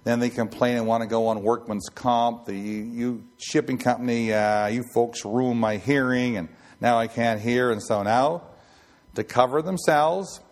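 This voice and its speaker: A man in his sixties with a raspy voice, giving an informal Bible teaching and rambling with many fillers and restarts. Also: mid-range voice, steady tone, unhurried. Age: 60s. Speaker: ship chaplain preacher.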